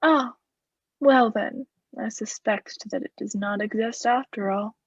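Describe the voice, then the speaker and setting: A woman's voice: fairly high pitch, unhurried, husky, no room sound, highs cut off. A woman acting a cold, vengeful murderer in a radio play, speaking with formal, sardonic politeness as he mocks his victim. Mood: mocking, menacing.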